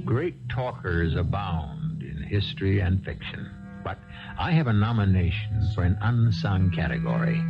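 Creaky, low male radio host. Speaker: host of radio mystery series. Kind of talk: scripted 1970s radio narration. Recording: dull archived broadcast with clear music.

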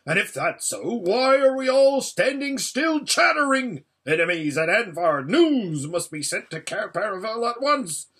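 Loud, high-pitched, excitable red dwarf acted by a man. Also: measured pace, few pauses, breathy edge, exaggerated voice. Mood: urgent alarm. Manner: loud, urgent exclamations. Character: excitable gruff red dwarf, practical and bossy but kindly.

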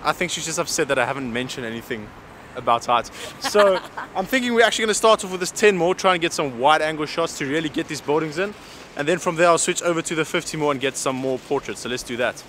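A man's voice: fairly high pitch, quick pace. A man in his thirties with a South African accent, chatting casually as a photographer vlogging while he walks. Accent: South African English. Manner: relaxed, chatty, walking while filming. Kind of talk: casual vlog chat. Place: busy city street outdoors. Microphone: handheld camera at arm's length. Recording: loud street traffic noise.

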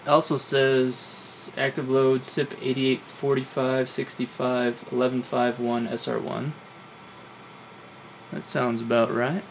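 A man's voice: mid-range voice, little pitch movement, takes it slowly, gravelly, slight background noise, poor audio. A man in his thirties giving a casual hands-on tech demonstration as a video host. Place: home lab room, indoors.

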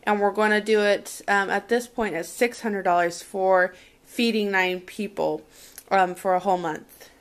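A woman's voice: medium pitch, fairly even intonation, measured pace. A woman vlogger talking casually indoors at home in a rambling, think-aloud way, recorded on a handheld camera. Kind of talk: casual chat, showing a grocery haul. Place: indoors at a home dining table. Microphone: handheld camera microphone. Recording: noisy handheld camera audio with clicks.

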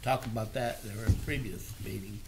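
A man's voice: on the low side, steady tone, moderate pace, a loud crowd. An older man speaking informally as a board member during a discussion in a meeting room. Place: indoor municipal meeting room. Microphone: table microphone. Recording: faintly noisy meeting room recording.

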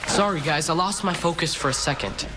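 An American actor in his thirties playing an excitable, cowardly but loyal karate sensei in a comically dramatic kids' sitcom style. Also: fairly high pitch, brisk, background noise, natural voice. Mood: resolute. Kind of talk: broad kids' sitcom acting. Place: indoor TV studio dojo set.

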